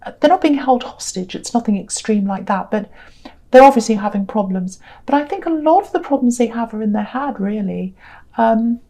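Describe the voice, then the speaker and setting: A woman reads tarot cards in a soft, meandering way and keeps filling pauses with "you know" and "um". Her voice sits a little high but sounds mellow and warm. It is tired and slightly croaky throughout. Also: animated. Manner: gentle, rambling, frequent "you know" and "um". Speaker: tarot reader. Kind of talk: casual tarot reading monologue.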